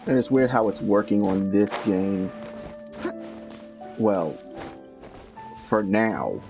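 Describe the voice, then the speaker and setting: Casting: male game streamer, low and unhurried, dryly grumbling in casual commentary over prominent game music. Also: lively intonation, vocal fry. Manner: halting, grumbling, dry. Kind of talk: casual live gameplay commentary.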